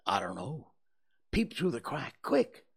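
A man voicing an excitable, bossy and superstitious young boy with an emphatic, dramatic rustic dialect. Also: mid-range voice, slow pace, creaky, husky, pitched above the performer's own voice, heavily acted. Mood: fearful.

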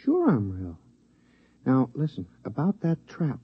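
An actor playing a talking lab mouse, a blunt, henpecked husband with a dry, wisecracking way in a 1950s radio drama. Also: male voice, mid-range voice, wildly swooping pitch, unhurried, breathy edge, no room sound, heavily acted. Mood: blunt, impatient. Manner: dry, plain-spoken, wisecracking. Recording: dull lo-fi 1950s radio recording.

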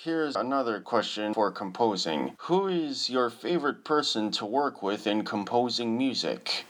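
A man's voice: mid-range voice, lively intonation, measured pace, fairly bright tone. A man hosting a podcast, introducing his guest and politely reading out prepared interview questions.

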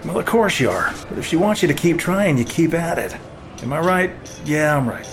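An actor playing a smooth, cocky, foul-mouthed villain in an audio drama thriller, knowing, teasing and self-assured. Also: male voice, fairly high pitch, very animated, moderate pace. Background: music.

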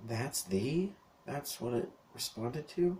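A man's voice: medium pitch, lively intonation, takes it slowly, a little mellow. A man in his thirties talking on his own in a rambling solo monologue, with lots of fillers, restarts and casual swearing. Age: 30s. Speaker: podcast host.

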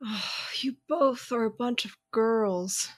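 A woman playing a tough, teasing young warrior sister in an audio drama, husky and sardonic. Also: on the high side, takes it slowly, dry recording. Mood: dry, subdued.

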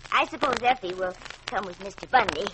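A woman's voice: medium pitch, fairly quick, natural voice. An actress playing a sweet, innocent old lady who is polite, chatty and dithery, in an old-time radio drama. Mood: cheerful, innocent. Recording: noisy vintage radio recording.

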